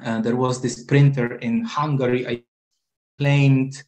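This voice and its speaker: A man researcher on a discussion panel talks slowly, with a middle-pitched voice. He hesitates constantly, leaning on "um" and "like" and repeating words before he finds his point. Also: little pitch movement, darkish tone. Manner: hesitant, many fillers and "like". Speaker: researcher and librarian.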